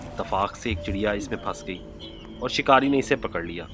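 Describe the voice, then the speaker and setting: A man's voice: lowish voice, animated, brisk, over music. A man narrating a moral story as a channel host, earnest and explanatory in manner.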